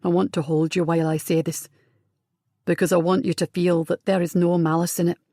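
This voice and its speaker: A woman narrator voicing a tender, wounded young man who speaks low and earnestly, halting at times. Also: flat delivery, warm tone, no room sound, clean studio sound, close to an everyday voice.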